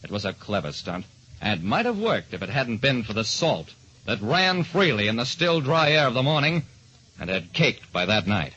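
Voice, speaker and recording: A man's voice: mid-range voice, big pitch swings, normal speed, pushed and bright, breathy edge, muffled recording. A man narrating and hosting in 1940s radio style, on an old radio recording. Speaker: detective show star and quiz host.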